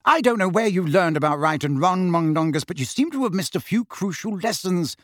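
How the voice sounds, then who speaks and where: A man's voice: high-pitched, huge pitch swings, slow, fairly bright tone, husky, no echo, clean studio sound, voice pushed up, heavily acted. A man voicing a stern, disapproving middle-aged mother with a clipped, scolding manner in an audiobook. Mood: cold.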